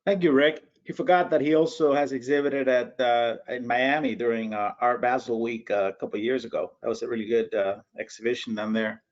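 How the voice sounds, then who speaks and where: A man's voice: medium pitch, steady tone, fairly quick, hardly any pauses. A Cuban-born man in his sixties, an art critic, chatting at length in a casual online talk. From Cuba. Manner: talkative, chatty. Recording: dull lo-fi audio.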